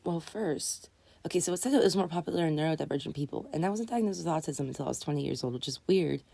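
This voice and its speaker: A woman in her twenties, raspy and just woken up, rambling earnestly and correcting herself as she hosts a casual live chat. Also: low-pitched, lively intonation, quick pace, mellow, no room sound. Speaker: livestream host.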